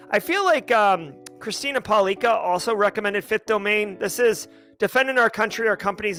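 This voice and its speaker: Bright, high-voiced man in his forties. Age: 40s. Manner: rambling, upbeat, restarts and corrects himself often. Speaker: livestream host answering chat questions. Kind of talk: casual livestream chat.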